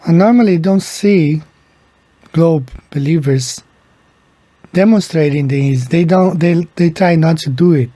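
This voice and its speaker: Slow, husky-voiced Brazilian-born man. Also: fairly high pitch, mellow, no room sound. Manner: slow, halting, repeats words. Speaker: video creator. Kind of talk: casual explainer monologue.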